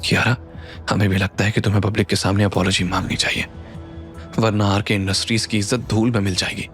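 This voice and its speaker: Corporate shareholder role, voiced by a male narrator: deep, grave, insistent, audio drama with music. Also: level tone, quick pace, a bit bright. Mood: grave, pressing. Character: serious, cautious male company shareholder. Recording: background music under the voice.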